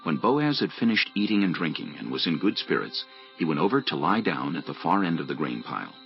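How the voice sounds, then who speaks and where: A man's voice: deep voice, very animated, fairly quick, vocal fry, highs cut off, soft background music. A man narrating scripted scripture as the narrator of a dramatized audio Bible.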